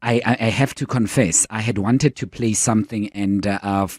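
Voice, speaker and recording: A man's voice: lowish voice, fairly even intonation, normal speed, no room sound. A man hosting a radio interview in a studio, an engaged listener who keeps affirming his guest. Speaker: radio host.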